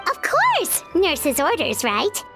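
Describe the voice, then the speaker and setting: A woman voicing a tiny, chirpy, childlike fairy companion with a squeaky voice in a video game. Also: huge pitch swings, takes it slowly, full character voice. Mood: excited, curious. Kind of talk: animated video game dialogue. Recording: music behind the voice.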